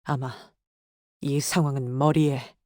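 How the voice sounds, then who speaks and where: A woman's voice: a bass voice, level tone, a little slow, a little mellow, dry recording, clean studio sound. A woman voicing a proud, stern female military tactician in a game, with clipped, formal, commanding delivery. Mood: grave, measured. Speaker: game character voice. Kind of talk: dramatic scripted game dialogue. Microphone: close, dry studio microphone.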